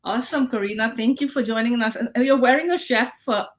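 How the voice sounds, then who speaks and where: Upbeat woman hosting a livestream. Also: on the high side. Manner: upbeat, encouraging, quick restarts. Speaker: host of a livestreamed hackathon session.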